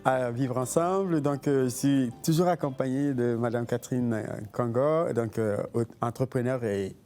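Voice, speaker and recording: A man hosting an interview, talking haltingly with many fillers and frequent short agreements. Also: mid-range voice, darkish tone, soft background music. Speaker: host.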